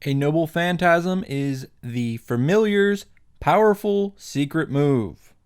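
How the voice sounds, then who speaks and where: A man's voice: on the high side, takes it slowly, smooth, no room sound, clean studio sound. A man recapping a visual novel as a host, wry and irreverent, with joking asides and swearing for comic effect. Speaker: video game summary host. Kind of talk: sarcastic story recap with asides.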